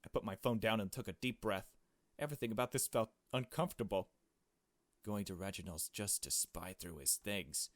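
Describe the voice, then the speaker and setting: A man reading visual novel narration as an anxious, conscientious young woman, measured and introspective. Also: lowish voice, dry recording, clean studio sound, close to an everyday voice. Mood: uneasy.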